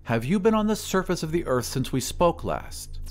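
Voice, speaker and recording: An anxious, irritable older mother in an audio drama, acted out by a man. The voice is pushed high and swings in pitch. It sounds fretful and insistent. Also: sing-song, moderate pace, soft background music. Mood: urgent.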